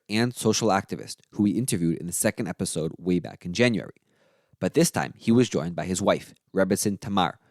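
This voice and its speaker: A man's voice: sits low, a little mellow, no room sound, studio quality. A man hosting a podcast, reading a polished, upbeat scripted intro before interviewing his guests. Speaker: podcast host.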